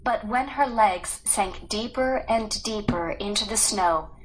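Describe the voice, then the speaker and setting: Slow, careful woman reading a story. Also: mid-range voice, slight background noise, rough recording. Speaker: story reader. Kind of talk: read-aloud storybook narration.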